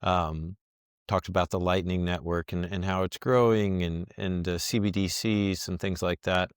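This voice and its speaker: A man's voice: sits low, fairly even intonation, normal speed, clean tone, no room sound, clean studio sound. A man hosting a casual podcast interview, wrapping up with friendly, rambling remarks and many fillers. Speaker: podcast host.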